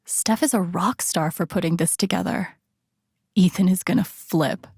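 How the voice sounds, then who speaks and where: A woman's voice: lowish voice, normal speed, a little mellow, slightly rough, no room sound, studio quality. A woman playing an earnest, wry, vulnerable young video game heroine, in an introspective, understated inner-monologue style. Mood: wry, casual. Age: young adult.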